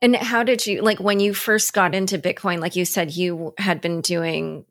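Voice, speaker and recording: A woman's voice: on the low side, fairly even intonation, normal speed, a bit bright, no echo, studio quality. A woman asking questions in a casual, conversational way as an interviewer. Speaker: interviewer, host.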